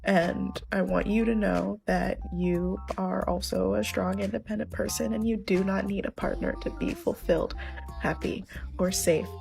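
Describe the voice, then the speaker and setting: A woman speaks with wry, self-mocking humor, close to tears. Her voice is creaky and gravelly at an ordinary pitch, with faint music behind her. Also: fairly even intonation, moderate pace, a little mellow. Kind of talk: casual confessional vlog.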